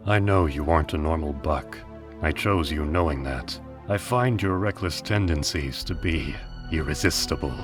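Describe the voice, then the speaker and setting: A cold middle-aged business patriarch from an anime drama, acted by a man, speaks with formal restraint. His voice sits very low and gives little away, over clearly audible music. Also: normal speed. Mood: cool, measured. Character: cold, detached middle-aged male deer business magnate and father. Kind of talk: dramatic anime fan dub acting.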